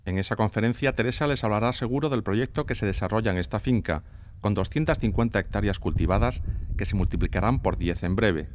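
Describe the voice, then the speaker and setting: A man's voice: lowish voice, fairly quick, a little hiss or hum. A man with a Spanish accent from Spain reading scripted TV magazine narration as a reporter.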